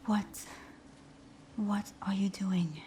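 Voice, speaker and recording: A woman playing a supervillain thief whose teasing bravado hides a timid, wounded heart, in a breathy, often whispered, intimate ASMR roleplay style. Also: medium pitch, steady tone, drawn-out words, noisy background. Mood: guarded, flustered. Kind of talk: ASMR roleplay audio drama.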